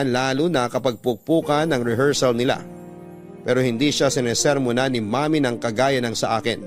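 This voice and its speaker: Male narrator as hurt young student; measured, sentimental radio letter-reading, medium pitch, clear music bed. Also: natural voice.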